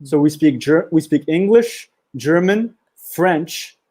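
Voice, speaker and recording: A man who is a guest in a casual interview, speaking calmly and agreeably, with lots of short "yeah"s. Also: a little high, slow.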